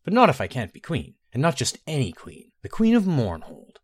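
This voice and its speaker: A man's voice: mid-range voice, wildly swooping pitch, fairly quick, voice pushed up. A man voicing an eager, stubborn young female elf thief in a raised voice.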